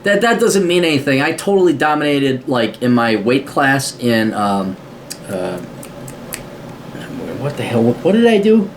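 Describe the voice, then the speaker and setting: A man's voice: mid-range voice, sing-song, measured pace, slight background noise. A man with an American accent hosting a podcast and reading out and answering a listener's letter, talking bluntly with plenty of swearing. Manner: blunt, swears often, mocking voices for asides. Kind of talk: casual profane advice monologue.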